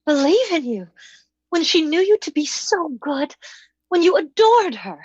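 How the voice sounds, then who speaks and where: A woman playing a gentle, sweetly sure young woman, earnest, in an old-time radio drama style. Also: extremely high pitch, sing-song, a little slow, dry recording, muffled recording, full character voice. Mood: startled, earnest.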